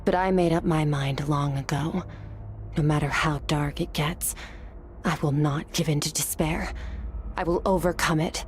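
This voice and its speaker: An actress playing a calm, resolute female swordswoman in a video game, cool and composed. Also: deep voice, a little slow, slight background noise.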